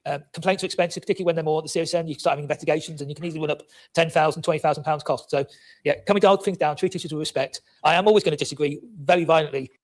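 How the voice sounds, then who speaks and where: A man speaking as a county councillor in a formal council debate, rapid, with stumbles and repeated words. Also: on the high side, fairly even intonation.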